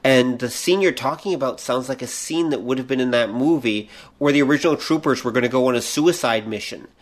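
A man's voice: mid-range voice, fairly quick. A man co-hosting a podcast, explaining films in a casual, opinionated way. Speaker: podcast co-host. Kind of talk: casual film chat.

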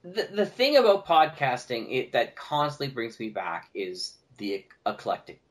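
A man's voice: medium pitch, big pitch swings, normal speed, fairly bright tone. A man talking casually and enthusiastically as a guest on a podcast. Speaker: podcast guest, audio drama producer.

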